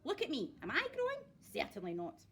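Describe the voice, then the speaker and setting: A woman's voice: high-pitched, wildly swooping pitch, a little fast, fairly bright tone, slight background noise, pitched above the performer's own voice, full character voice. A woman voicing a selfish, grumpy, tiny old grandmother who snaps and scolds bossily in a storybook reading. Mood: scornful. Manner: snapping, bossy, scolding.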